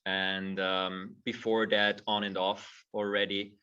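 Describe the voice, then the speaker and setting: A middle-aged man speaks thoughtfully and often restarts his phrases. His voice is low and bright. He sounds far from the mic, and the audio is dull and muffled. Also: flat delivery, slow, clear, resonant tone. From Austria. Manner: thoughtful, repeats words when starting phrases. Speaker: guest, movement teacher. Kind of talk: conversational interview.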